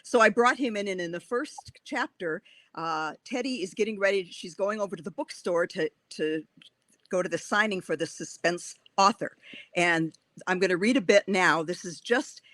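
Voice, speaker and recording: Older woman, 60s, Wisconsin accent, bright forceful voice, enthusiastic chatty author, dry sound. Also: on the low side, moderate pace, no echo. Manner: chatty, enthusiastic, laughs often. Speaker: author guest. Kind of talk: bookstore author talk.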